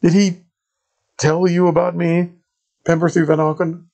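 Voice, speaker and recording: Man doing a fussy Italian monk, high-pitched. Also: little pitch movement, unhurried, vocal fry, a little mellow. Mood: flustered. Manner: hesitant, full of fillers.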